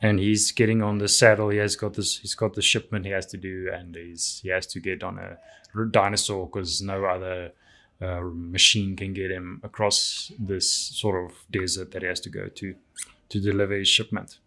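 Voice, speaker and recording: Afrikaans guy going over his ink drawings, kinda low voice, lots of ums and stops. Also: level tone, moderate pace, pushed and bright. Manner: hesitant, many ums and restarts. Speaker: artist reviewing his drawings. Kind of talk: casual narration over artwork.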